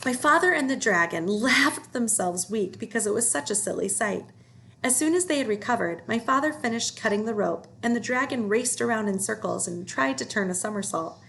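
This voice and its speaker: High, creaky-voiced woman reading a storybook. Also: animated, fairly quick, fairly bright tone, a little hiss or hum. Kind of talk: expressive read-aloud of a children's book.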